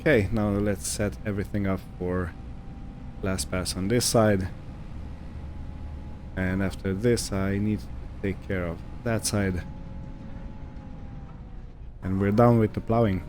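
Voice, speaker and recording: Guy doing chill game commentary, really slow talker, kinda low voice, musing and hesitant, faint engine hum behind. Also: fairly even intonation, a little mellow. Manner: hesitant, musing, thinking aloud. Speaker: gaming video host. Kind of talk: casual roleplay gameplay commentary.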